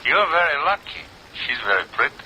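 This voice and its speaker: An actor playing a sly, wheedling Algerian employment agent with a halting, insinuating way of talking in a radio drama. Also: male voice, fairly high pitch, very animated, bright, forceful tone, heavily acted, low-quality recording. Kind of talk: 1950s radio drama acting. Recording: hissy old radio recording.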